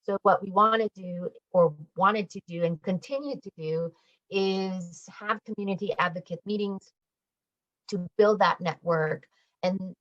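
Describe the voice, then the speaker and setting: A woman with a slightly low voice explains things as a guest in a radio discussion. The sound is somewhat dull and dry, as over an online call, with little room around her. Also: dry recording. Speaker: campaign organiser, radio guest.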